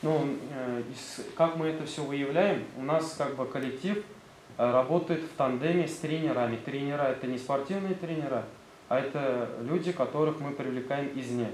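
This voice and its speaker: A man's voice: mid-range voice, moderate pace, a little mellow. A man with a Tatar accent, a school director presenting his gymnasium to visiting officials, hesitant and full of fillers. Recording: roomy sound, faint background noise.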